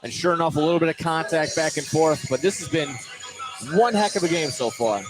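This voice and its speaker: A man's voice: on the high side, a little fast, over music. An American man giving colour commentary during a live basketball game. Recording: clear gym noise behind voice. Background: sports venue ambience.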